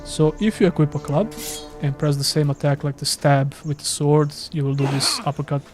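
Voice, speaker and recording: A man's voice: on the high side, moderate pace, darkish tone, soft background music. A man from Serbia with a Serbian accent, a gaming streamer chatting casually and self-deprecatingly while playing live. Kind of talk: casual live gameplay commentary.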